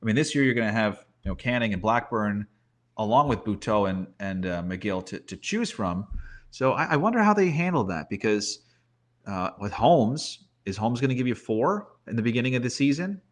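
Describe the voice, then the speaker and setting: A man with a medium-pitched voice talks casually as a baseball analyst. He thinks aloud in a conversational way, working through options and putting rhetorical questions as he goes. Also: expressive, normal speed. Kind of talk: casual sports talk, roster preview.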